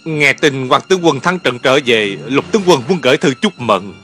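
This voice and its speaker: Man as flattering envoy, medium pitch. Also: normal speed, soft background music, lightly acted. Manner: obsequious, polite. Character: deferential, flattering male court envoy. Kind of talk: dubbed animated historical drama.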